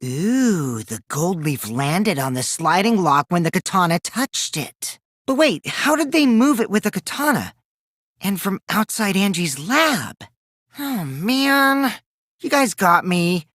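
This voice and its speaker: A man's voice: high-pitched, very animated, slow, fairly bright tone, dry recording, studio quality, exaggerated voice. An actor playing a mischievous, taunting teenage boy in a video game, theatrical and teasing, with a youthful boyish voice that can turn from cutesy to cold. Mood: sly, teasing. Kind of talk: animated game dialogue in a murder-mystery trial.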